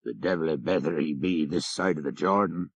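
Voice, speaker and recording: A man's voice: very deep voice, brisk, no echo, lo-fi sound. A man voicing a deferential, folksy Irish country driver telling local tales in an audiobook. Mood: grave, matter-of-fact. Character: rural Irish jarvey driver, deferential, gossipy storyteller. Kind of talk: audiobook character reading.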